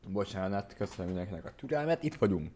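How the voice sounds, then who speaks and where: A man casually chatting as a game streamer, a native Hungarian speaker thinking aloud with hesitant pauses. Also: low-pitched, expressive, dark tone. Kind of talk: casual livestream chat.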